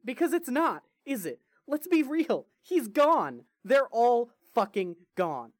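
A woman playing a grieving, foul-mouthed, defensive young man in an audio drama, with halting, vulnerable delivery. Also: a little high, big pitch swings, a little mellow, no room sound, full character voice. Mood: bitter, frustrated. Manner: halting, swearing, raw and vulnerable. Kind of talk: fan fiction audio drama acting.

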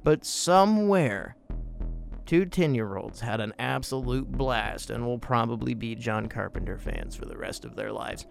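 A man hosting a podcast, giving casual solo film commentary with emphatic, drawn-out phrasing and a gravelly voice. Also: mid-range voice, expressive, faint music behind. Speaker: podcast host.

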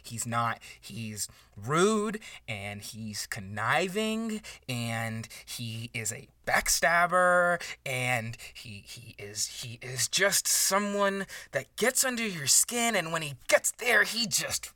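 A man's voice: medium pitch, sing-song, takes it slowly, few pauses, pushed and bright, no room sound, clean studio sound, heavily acted. A man playing a bitter, insecure aspiring writer in an improvised comedy drama, agitated, stammering and sarcastic. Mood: bitter, indignant. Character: bitter, insecure aspiring male writer jealous of his rival.

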